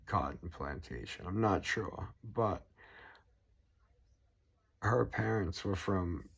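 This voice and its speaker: A man's voice: low-pitched, lively intonation, slow pace, creaky, husky. A man with a Boston accent ranting at length, swearing constantly and rambling. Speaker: political vlogger. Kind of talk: profane rambling rant to camera.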